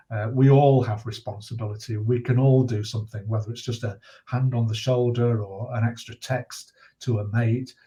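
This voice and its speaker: A man in his sixties with a fairly low voice talks earnestly as an interview guest. He is measured and reflective, often pausing to find the next phrase, in the manner of a retired journalist now campaigning for a cause. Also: warm tone.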